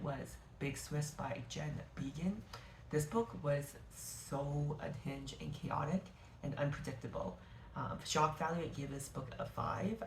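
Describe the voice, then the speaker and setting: A woman's voice: low voice, slight background noise. Gushing woman reviewing books. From Canada. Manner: gushing, rambling, self-correcting. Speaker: book vlogger. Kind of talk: casual book review to camera. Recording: echoey room.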